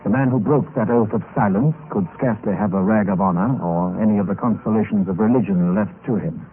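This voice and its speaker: A man acting a bored, cool gentleman adventurer who recounts his tale in a suave, formal, old-time radio style. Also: fairly low pitch, a little fast, natural voice. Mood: grave. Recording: noisy, tinny old radio recording.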